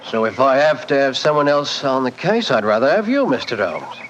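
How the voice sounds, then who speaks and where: A rustic English police sergeant, deferential and colloquial in his phrasing. The pitch sits mid-range, in the manner of 1940s radio acting. The recording is dull and lightly noisy. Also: sing-song, fairly quick, fairly bright tone. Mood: friendly, candid. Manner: colloquial, rustic grammar, respectful. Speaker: actor in a radio mystery.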